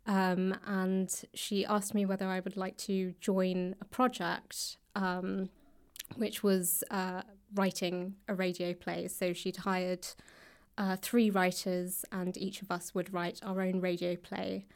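A woman speaks as an interview guest in a conversational, thoughtful manner. Her mid-range voice stays quite even in pitch, and she pauses often as she works through her answers. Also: clear, resonant tone. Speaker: guest author and actor. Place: library room.

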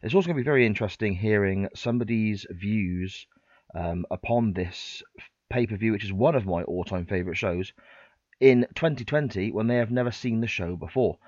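A man's voice: on the low side, fairly quick, a little mellow, no room sound, highs cut off. A man hosting a podcast interview in a chatty, polite way with frequent ums, sounding congested from a cold. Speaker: podcast host. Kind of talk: casual interview chat.

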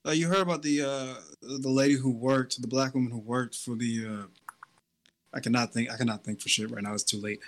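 A man's voice: medium pitch, steady tone, a little fast. A man in an easygoing podcast chat who wanders through his thoughts, full of "like" and "um." He has a plain mid-range voice. His sentences often stall and restart before he finds the point. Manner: rambling, hedging, trails off into fillers. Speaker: podcast co-host. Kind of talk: casual chat.

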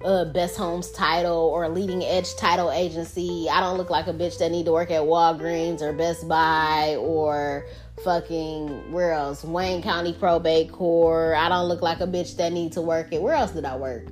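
A woman's voice: deep voice, fairly even intonation, normal speed, soft background music. Profane, candid woman podcaster. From Detroit. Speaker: podcast host. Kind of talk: casual solo podcast monologue. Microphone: podcast microphone.

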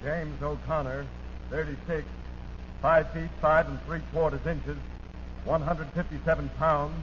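American guy reading 1940s radio bulletin, high voice, real slow and staccato, muffled old recording. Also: fairly even intonation, a little hiss or hum. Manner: deliberate, staccato, repeats details.

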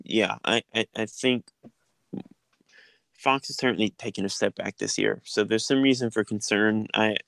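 Podcast host, male, lowish clear voice, dry close mic, relaxed chatty delivery. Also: normal speed, no echo. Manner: easygoing, filler-heavy, frequent restarts. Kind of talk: casual sports podcast chat.

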